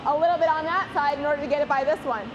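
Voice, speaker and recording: High woman player, explaining the shot, loud crowd noise on the mic. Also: rapid-fire, lo-fi sound. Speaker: curler on the ice.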